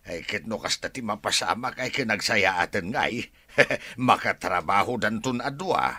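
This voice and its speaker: A very bright, raspy older family man with an Ilocano accent. He speaks in expressive radio drama style, recorded close and dry. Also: on the low side, a little slow, no room sound, full character voice. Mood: exasperated, scolding. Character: married older father, forceful and easily exasperated.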